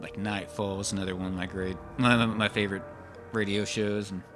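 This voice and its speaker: A man's voice: lowish voice, little pitch movement, brisk, music in the background. A man co-hosting a podcast in casual chat, rambling and repeating his phrases as he goes. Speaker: podcast co-host. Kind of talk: casual chat reviewing horror radio.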